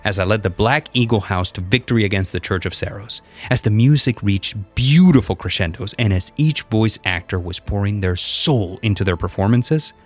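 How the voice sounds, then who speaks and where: A man narrating a personal story as a voice actor, in an expressive, dramatic storyteller's delivery. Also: lowish voice. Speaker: voice actor and content creator. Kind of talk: personal storytelling with numbered lessons. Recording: music behind the voice. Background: background music.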